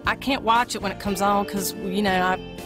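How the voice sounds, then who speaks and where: A woman's voice: mid-range voice, steady tone, moderate pace, natural voice. A woman playing a plain-spoken, folksy diner waitress in her thirties in an amateur audio play. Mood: starstruck. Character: weary mid-thirties female diner waitress, brusque, turning starstruck fangirl. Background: music.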